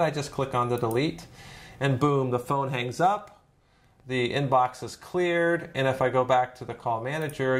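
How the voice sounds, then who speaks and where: A man narrating an informal step-by-step software tutorial in a conversational, demonstrative way, as a trainer would. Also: mid-range voice.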